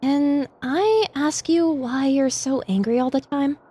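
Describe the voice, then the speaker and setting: Woman acting a high-voiced, outspoken young pony. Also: a little slow, warm tone. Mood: hesitant. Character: young filly wasteland survivor, outspoken and troubled. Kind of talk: audio drama character acting.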